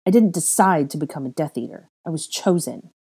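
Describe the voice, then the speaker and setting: A woman's voice: low voice, expressive, fairly quick, dark tone, slightly rough, heavily acted. A woman voicing a frightened teenage wizard boy who stammers and gets defensive.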